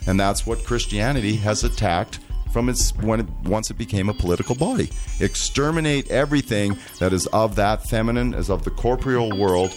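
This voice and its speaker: Slow, deep-voiced man speaking deliberately. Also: soft background music. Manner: slow, deliberate, with frequent restarts. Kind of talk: earnest conversational monologue.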